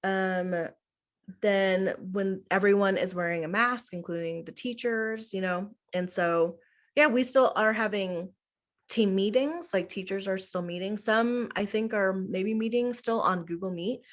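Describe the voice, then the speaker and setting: A woman's voice: mid-range voice, measured pace. A young adult woman from the United States, a middle school teacher answering interview questions in a casual, chatty way full of "like" and "um". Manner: chatty, heavy use of "like" and "um".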